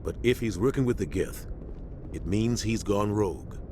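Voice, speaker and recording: Man playing a deep-voiced, grave starship captain. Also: close to an everyday voice. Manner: measured, authoritative. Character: seasoned, loyal, grave military starship captain. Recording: clear engine-like ship noise behind.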